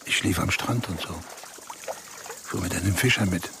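A man's voice: low-pitched, normal speed, noisy background. An actor playing a weary banker who wonders what he might have become, speaking in a wistful, resigned way in a radio play. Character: weary male banker regretting his life.

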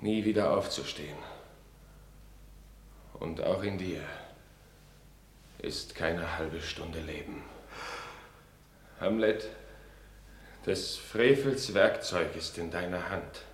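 An actor playing a proud, doomed young duellist in a radio tragedy, intense and declamatory. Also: male voice, deep voice, slow, pauses often. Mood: dying, remorseful. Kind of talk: classic radio play acting of stage tragedy. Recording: roomy studio echo with faint ambient noise.

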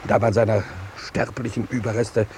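An actor playing a solemn, suspicious soldier with formal diction in a 1950s German radio play. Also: male voice, lowish voice, little pitch movement, moderate pace, darkish tone, slight background noise, close to an everyday voice.

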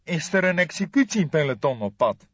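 A man's voice: a little high, very animated, normal speed, breathy edge, dry recording, lo-fi sound, pitched above the performer's own voice. A man voicing a brash, mocking police photographer in an audiobook crime story. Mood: startled. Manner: sneering, taunting.

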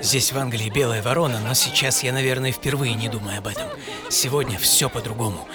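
An actor playing a vain, excitable young Belgian inventor in an audio play, theatrical and boastful, dropping French phrases into his monologue. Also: mid-range voice, fairly quick, close to an everyday voice. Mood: confiding, intimate. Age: 20s. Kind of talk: dramatized first-person monologue in an audio play. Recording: studio voice over music.

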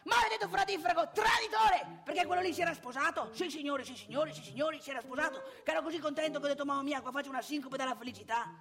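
A woman's voice: high voice, very fast, hardly any pauses, a bit bright. A woman playing a cantankerous, opinionated old married man in a theatrical comic monologue, with a northeastern Italian accent, scolding and haranguing in rhetorical questions. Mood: indignant, exasperated.

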